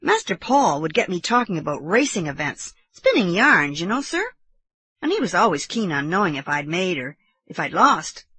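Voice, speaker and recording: Loyal English serving man, voiced by a woman: deferential, confiding, secretive, lively pitch, husky edge, classic story. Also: normal speed, exaggerated voice. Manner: respectful, secretive.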